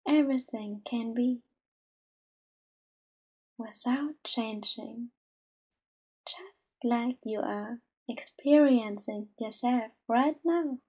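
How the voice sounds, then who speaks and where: Female meditation guide, higher-pitched but mellow, gentle and unhurried, soft to near-whisper. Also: husky, poor audio. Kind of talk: slow soothing guided meditation.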